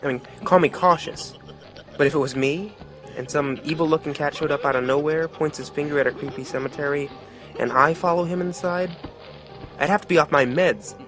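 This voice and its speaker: A man's voice: on the high side, a little fast, over music, lightly acted. A man playing a wry, streetwise storyteller, narrating a motion comic in a folksy, reflective, colloquial way. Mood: wry, musing. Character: wry, streetwise male storyteller musing on death.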